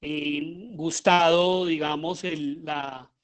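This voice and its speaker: A middle-aged man with a Colombian accent giving an informal lecture, often pausing and repeating himself as he searches for words. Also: a little high, fairly even intonation. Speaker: lecturer, radio drama director.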